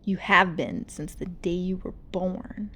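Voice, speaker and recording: A cruel demon girl from a storybook, put on by a woman reader. She taunts slowly in a low-key hiss, sneering and snarling. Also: fairly even intonation, a bit bright, exaggerated voice.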